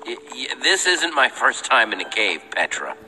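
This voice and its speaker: An actor playing a young, earnest and protective adventurer in a video game, excitable in manner. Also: male voice, mid-range voice, normal speed, slight background noise. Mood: wry. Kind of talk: animated video game voice acting. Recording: game music behind the voice.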